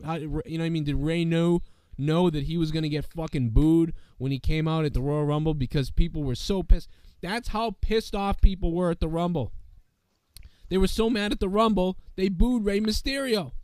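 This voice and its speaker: A man's voice: on the high side, moderate pace, darkish tone, no room sound. A male podcast host who is sick and losing his voice, talking casually and profanely in a rambling way. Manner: rambling, peppered with "you know what I mean". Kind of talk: casual profane show commentary.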